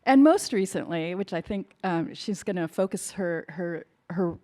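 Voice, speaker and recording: A woman introduces the keynote speaker at a conference in a fairly low voice. She reads her notes smoothly, but once she ad-libs she stumbles through fillers and false starts. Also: lively intonation, a little slow, warm tone. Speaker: event host introducing speaker. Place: conference hall.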